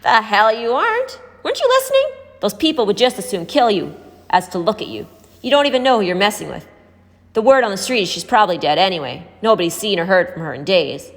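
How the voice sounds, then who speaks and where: A woman's voice: medium pitch, big pitch swings, fast, voice pushed up. Streetwise homeless young man for a read-aloud woman narrator playing him: urgent, wary, plain-spoken, long reverb. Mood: agitated. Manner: blunt, warning, urgent. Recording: hall-like reverb.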